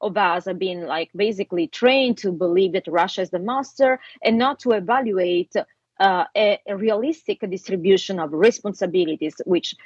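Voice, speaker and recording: A woman with an Italian accent, speaking as a guest commentator in an interview. She talks earnestly and in a measured way, laughing easily between her points. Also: medium pitch, slightly rough, no room sound, lo-fi sound. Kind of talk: political interview talk.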